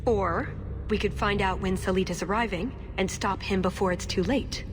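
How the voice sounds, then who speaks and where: A woman's voice: medium pitch, steady tone, normal speed, lightly acted. Earnest elf woman, acted, in ringing reverb. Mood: resolute. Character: earnest elf woman, idealistic agent of a foreign creed. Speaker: video game character. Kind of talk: game cutscene dialogue. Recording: reverberant, music under the voice. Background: music.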